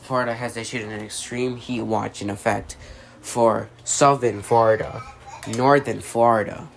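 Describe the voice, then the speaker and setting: An amateur voice actor reading a scripted mock emergency broadcast as an announcer, halting and stumbling with repeated phrases. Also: mid-range voice, fairly even intonation, gravelly, slight background noise.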